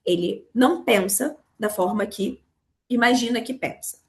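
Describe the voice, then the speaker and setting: A Brazilian woman from Rio with a Carioca accent, hoarse from a cold, teaching a live online class on money, talking straight to her students. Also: a little high, a little slow, darkish tone. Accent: Carioca Brazilian Portuguese. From Rio de Janeiro, Brazil. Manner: explanatory, addresses students directly. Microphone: video call.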